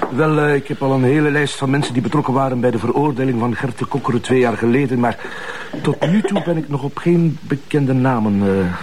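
Guy playing a flemish junior cop, average pitched voice, earnest, bit hesitant with the boss, faint background noise, tv crime drama. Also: fairly quick, natural voice. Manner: hesitant, deferential. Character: earnest, mildly put-upon male junior detective. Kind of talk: naturalistic TV crime drama acting.

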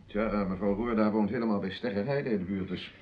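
A man's voice: on the low side, fast, close to an everyday voice. An actor playing an ordinary, polite man in a radio drama. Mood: helpful. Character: ordinary man politely giving road directions. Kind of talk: Dutch radio drama acting. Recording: muffled lo-fi recording with faint noise.

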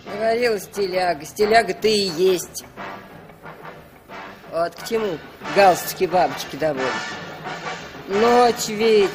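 A woman's voice: mid-range voice, takes it slowly, long pauses, loud music behind the voice, lightly acted. An actress playing a grumbling older woman in a 1960s radio play, with a sardonic, folksy way of talking. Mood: wry grumbling.

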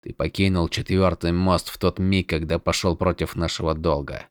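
A man's voice: sits low, takes it slowly, vocal fry, clean tone, no echo, studio quality, pitched below the performer's own voice, exaggerated voice. A man voicing a weary, wounded young spearman in a fantasy audiobook, speaking in a tired, resolute near-whisper. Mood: quiet, resolute.

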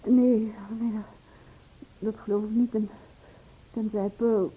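A woman acting in a Dutch radio play as an anxious, fragile woman who speaks haltingly. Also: mid-range voice, little pitch movement. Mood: faint, distressed. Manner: hesitant, halting, breathy. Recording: muffled narrow-band old radio recording.

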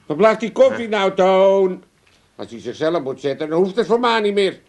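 Older man, Amsterdam accent, high voice that swoops widely; blunt, heartless, impatient grumbler for radio comedy. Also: animated, normal speed, a little mellow, soft background music. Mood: irritated. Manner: grumbling, impatient, working-class wisecracking. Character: cantankerous heartless old Amsterdam father, bossy and blunt. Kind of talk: comedic radio drama acting.

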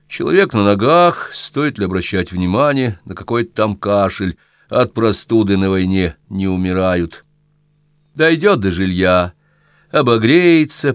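Guy reading an audiobook novel, lowish voice, really dry recording no room sound. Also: no room sound. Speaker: audiobook narrator. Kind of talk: scripted literary narration.

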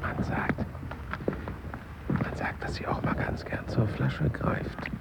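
An actor in a German radio play as a nosy, cynical funeral guest trading dry, offhand remarks. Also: male voice, slow pace, close to an everyday voice. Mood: gossipy. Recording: dull radio recording, clear background noise.

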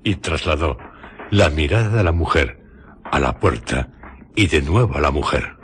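A man with an accent from Spain narrating a radio drama in a measured, literary manner. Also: very deep voice, expressive, fairly bright tone, slight background noise.

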